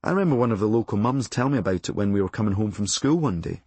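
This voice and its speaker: Husky Glaswegian man narrating, swooping pitch. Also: expressive, rapid-fire, a little mellow, no room sound. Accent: Scottish, Glasgow. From Glasgow, Scotland. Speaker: audiobook narrator and author. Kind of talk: scripted memoir narration.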